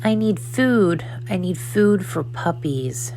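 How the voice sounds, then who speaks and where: A woman's voice: on the low side, expressive, slow, a little mellow, a little hiss or hum. A woman voicing an eager, hungry young female puppy in a children's storybook, with emphatic, childlike delivery. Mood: sulky, pleading.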